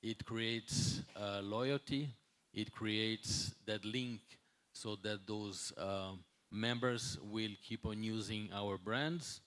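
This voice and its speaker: Hotel executive, male, 60s, non-native English, slightly deep voice, speaks off the cuff at a conference, searching for words. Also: fairly even intonation, a bit bright. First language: not English. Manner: halting, searching for words, with repeated words. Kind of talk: unscripted conference talk.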